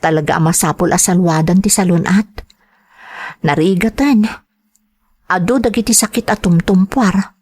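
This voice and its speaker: A woman's voice: fairly low pitch, normal speed, long pauses, darkish tone, breathy edge. A woman playing a caring, worried village mother and wife in an Ilocano radio drama, speaking expressively and with sympathy. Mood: worried, pitying. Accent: Filipino, Ilocano. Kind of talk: radio drama acting with narration.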